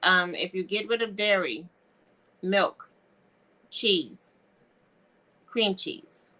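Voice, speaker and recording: Bright-voiced bubbly woman hosting. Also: medium pitch, expressive, extremely slow, rough recording. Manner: bubbly, addresses viewers directly. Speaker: host. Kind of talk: casual health talk show chat.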